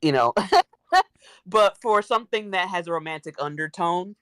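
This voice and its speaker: A woman's voice: on the low side, moderate pace, a bit bright, dry recording. A woman speaking as a guest author in a casual discussion, thinking aloud in an informal way.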